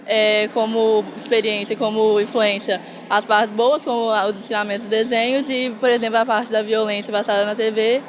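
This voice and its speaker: A woman's voice: fairly high pitch, little pitch movement, moderate pace, few pauses. A Brazilian woman answering a street interview in an earnest, slightly hesitant way. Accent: Brazilian Portuguese.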